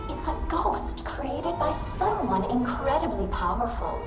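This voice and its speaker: A woman's voice: high voice, very animated, measured pace. A woman voicing a lovesick, possessive and unstable female robot in a video game, coquettish and honeyed in her speech. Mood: sweet, possessive. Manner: coquettish, cooing with endearments. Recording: echoey voice under loud game music.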